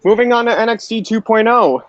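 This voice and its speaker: A man's voice: high voice, fairly quick, dull audio. A man hosting a podcast, recapping wrestling shows for his co-hosts. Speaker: podcast host.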